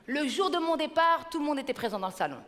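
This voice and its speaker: An energetic woman in her thirties, from Belgium, performing stand-up on a large stage. She talks in a punchy way and slips into the voices of her relatives. Her voice is high, and the room adds a long reverb. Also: fast. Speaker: stand-up comedian. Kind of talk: stand-up comedy.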